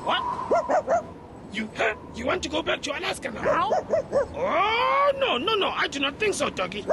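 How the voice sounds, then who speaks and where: A man voicing an eager, chatty furry male monster who speaks formally and exclaims loudly. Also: very high voice, very animated, normal speed, noisy background. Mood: cheerful.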